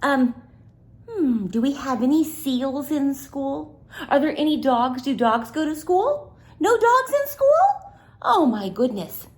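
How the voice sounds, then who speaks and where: Slow, lively-voiced woman reading to children. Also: sits high. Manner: playful, gently questioning, sing-song; slow and deliberate, pausing for answers. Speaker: teacher reading aloud. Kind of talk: children's read-aloud with questions.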